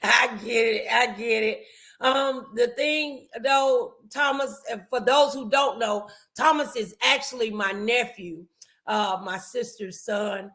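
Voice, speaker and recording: A middle-aged American woman hosting a motivational talk show, speaking in an emphatic, deliberate way. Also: on the high side, fairly bright tone.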